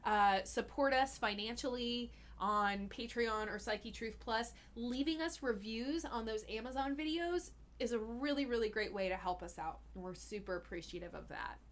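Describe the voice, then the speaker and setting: A woman's voice: a little high, moderate pace, few pauses. A young woman hosting a casual live-stream Q&A as a health coach, chatty and giggly with lots of fillers. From Austin, Texas.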